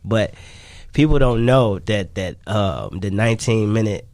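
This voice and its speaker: A man's voice: lowish voice, expressive, unhurried, darkish tone, no room sound. A man talking casually as an interview guest, repeating himself and often saying "you know what I'm saying.". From Chicago, United States. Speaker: interview guest, music artist.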